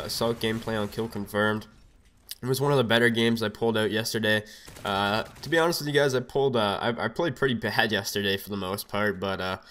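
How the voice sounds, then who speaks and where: A young man with a cold, his voice a bit raspy, talking loosely over his gameplay on a desk mic at home, rambling with lots of fillers. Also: mid-range voice, fairly bright tone. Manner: rambling, filler-heavy, laid-back. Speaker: gameplay commentator. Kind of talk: casual gameplay commentary. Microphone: USB desk mic.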